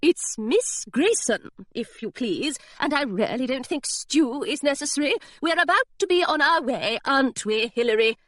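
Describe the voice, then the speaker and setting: A stern, prim governess, put on by a woman narrator, issuing high, clipped commands with lively swings in pitch. The recording is close and dry. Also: moderate pace, hardly any pauses, no room sound. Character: stern prim female former governess, indignant.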